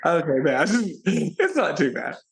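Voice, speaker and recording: Gravelly, playful man. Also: a little high, big pitch swings, slow, a little hiss or hum. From Alabama, USA. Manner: playful, bubbly, laughs often. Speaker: guest, social media personality. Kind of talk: casual interview chat.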